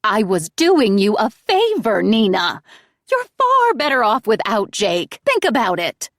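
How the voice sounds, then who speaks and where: A woman voicing a manipulative, self-pitying middle-aged mother who speaks in a crooning, wheedling way, raised in pitch and volume above her narration. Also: wildly swooping pitch, measured pace, no room sound, studio quality.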